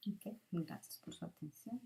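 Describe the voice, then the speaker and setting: A middle-aged woman gives a student presentation in a hesitant, halting way with pauses. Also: lowish voice, vocal fry, mellow. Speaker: student teacher giving a presentation. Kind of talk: prepared spoken presentation, partly improvised.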